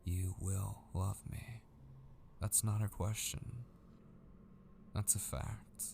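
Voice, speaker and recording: A man acting an obsessive, possessive kidnapper in an intimate ASMR roleplay, patient and menacing, hushed and often slipping into a breathy whisper. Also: lowish voice, fairly even intonation, slow, a little hiss or hum. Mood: coldly possessive.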